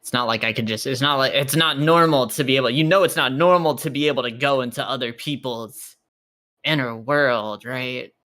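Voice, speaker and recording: A player acts out a guarded widow in an anime adventure game. The delivery is halting and skeptical, full of puzzled restarts, in an everyday conversational voice. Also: mid-range voice, pushed and bright, close to an everyday voice.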